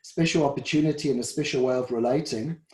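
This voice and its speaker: A middle-aged South African man, a dietitian and first responder, answers interview questions in a halting way and often repeats words. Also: medium pitch, a little mellow. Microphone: video call. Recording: roomy echo.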